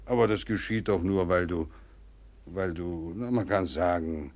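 A man's voice: sits low, a little slow, a little mellow. A man acting an older, fatherly Russian general in a German radio play, flustered, rambling and hesitant as he confides. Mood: earnest, apologetic. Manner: rambling, hesitant, circling back with interjections.

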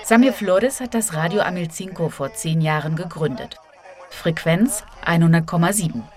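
A woman with a fairly low voice narrates a scripted radio report. She works as a correspondent, moving between narration and translated quotes in a measured news-feature style. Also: a crowd in the background.

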